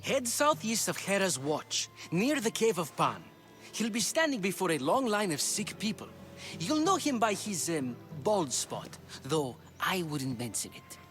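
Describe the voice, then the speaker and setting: A man's voice: high voice, sing-song, measured pace, fairly bright tone, faint music behind, exaggerated voice. A man playing an anxious, earnest assistant to an ancient Greek physician in a video game. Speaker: game character. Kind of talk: video game cutscene acting.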